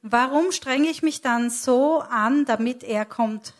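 A woman's voice: on the high side, slow pace. A woman interpreting a sermon live, delivering it phrase by phrase and sometimes correcting herself.

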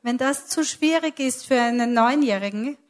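A woman's voice: a little high, moderate pace. A woman interpreting a sermon live, delivering it phrase by phrase and sometimes correcting herself.

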